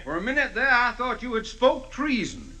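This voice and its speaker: A man's voice: very high-pitched, big pitch swings, normal speed. An actor playing a rich, hot-tempered, folksy Texas oilman with a drawl in old radio comedy. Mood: earnest boasting. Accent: Texan. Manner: folksy drawl, exaggerated delivery. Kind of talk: 1940s American radio comedy acting. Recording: noisy old radio recording.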